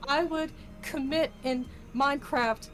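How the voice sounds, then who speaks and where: A woman's voice: high voice, measured pace, music in the background. Boisterous woman with a country accent. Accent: rural American country. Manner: boisterous, rowdy, swears freely. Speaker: guest singer. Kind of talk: casual chat on a stream.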